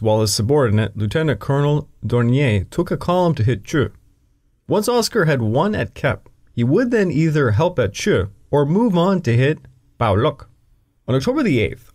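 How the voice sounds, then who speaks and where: A man's voice: mid-range voice, a little mellow. A man narrating a scripted history podcast, with dry joking asides. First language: English. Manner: dry asides breaking into the reading.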